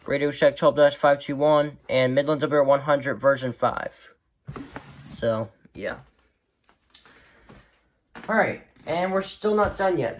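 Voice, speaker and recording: Low-key man giving a casual show-and-tell. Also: slight background noise. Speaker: vlogger showing a weather radio collection. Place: indoors, rooms at home.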